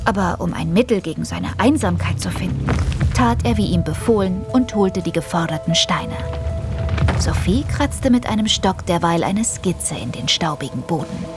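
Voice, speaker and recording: A woman's voice: fairly low pitch, animated, moderate pace. A woman narrating a children's audio drama in a scripted storybook style. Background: music.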